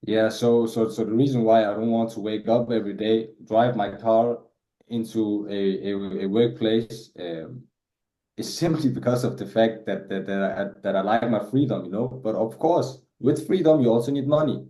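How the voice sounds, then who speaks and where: Danish guy, english isn't his first language, mellow voice, stumbles and repeats himself, roomy echo. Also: on the low side, steady tone, normal speed. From Denmark. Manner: hesitant, many repeated words and restarts. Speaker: guest. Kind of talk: casual interview conversation.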